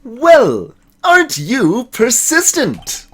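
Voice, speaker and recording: Pompous, high-pitched, drawling villain. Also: male voice, wildly swooping pitch, pushed and bright, slightly rough, exaggerated voice. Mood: condescending. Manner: drawn-out, condescending delivery. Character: pompous, menacing, condescending wealthy businessman villain in game.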